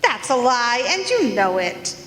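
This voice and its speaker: A woman's voice: on the high side, very animated, measured pace, a bit bright. An actress in a live radio play playing a scheming female former psychic who talks in a haughty, indignant way. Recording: echoey hall with faint noise.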